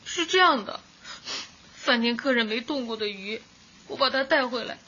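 A woman's voice: fairly high pitch, animated, moderate pace, bright, forceful tone, breathy edge, lo-fi sound, exaggerated voice. A woman playing a timid, flustered working-class wife, plaintive and eager to please, in a Chinese radio drama. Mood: plaintive, aggrieved. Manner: plaintive, apologetic.

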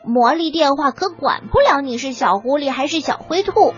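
A very high, childlike little bear cub for a children's audio tale. The cub is clumsy, helpful and proud, talking slowly with hesitant fillers. The sound is slightly dull, with music in the production. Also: expressive, a bit bright. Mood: pleased, explaining. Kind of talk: children's audio story acting.

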